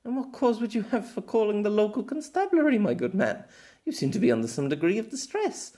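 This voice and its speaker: A man's voice: sits high, big pitch swings, fast, mellow, voice pushed up. A man voicing a cheerful, gallant young woman knight with courtly, archaic phrasing in an audiobook. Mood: formal, proud. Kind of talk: audiobook character voice.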